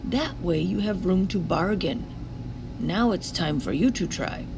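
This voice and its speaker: A woman's voice: fairly low pitch, animated, unhurried, vocal fry, noisy background. A woman voicing a kindly, shrewd father in a storybook, encouraging and instructive as he teaches his daughter.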